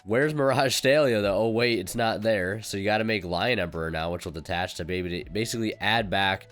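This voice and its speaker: A man with a low, resonant voice talks over a card game match with easygoing, casual commentary. He has a cold that leaves his voice slightly off. He fills gaps with "um" and "uh" and corrects himself as he goes, over faint background music. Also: fairly quick. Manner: relaxed and conversational, many fillers and self-corrections. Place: voice-over recorded separately from the match footage.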